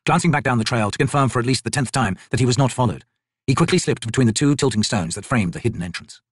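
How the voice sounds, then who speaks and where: A man's voice: mid-range voice, fast talker, slightly rough, no room sound, clean studio sound. A man reading scripted fantasy fiction as an audiobook narrator.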